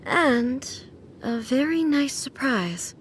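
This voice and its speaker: A woman's voice: sits high, expressive, slow pace, slight background noise. A woman voicing a solemn, reserved, ageless ruler deity in a video game, speaking in a formal, restrained way. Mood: reserved, softened.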